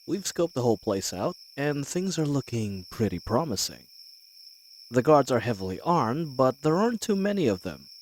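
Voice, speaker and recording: Man acting a wry, animated bandit leader. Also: medium pitch, poor audio. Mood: wry, scheming. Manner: folksy, colloquial, wry. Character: cocky, wary male bandit leader plotting a heist. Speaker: voice actor in a scripted roleplay audio. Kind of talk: scripted fantasy audio roleplay, addressing the listener.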